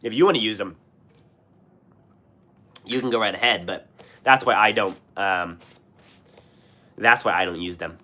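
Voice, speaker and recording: A man's voice: on the low side, measured pace, pauses often, bright, forceful tone. A young man with a US West Coast accent chatting casually on a livestream, rambling with fillers and restarts while sick with a cough. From Washington State. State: sick, coughing and clearing throat. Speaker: livestream host. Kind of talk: casual live chat answering viewers.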